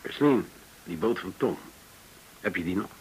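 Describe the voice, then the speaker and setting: A man's voice: low voice, expressive, normal speed, poor audio. An actor playing a determined, inquisitive man in a Dutch radio drama, with a direct, questioning way of talking. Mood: probing. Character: determined, inquisitive man resolved to confront an enemy. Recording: hissy old radio recording.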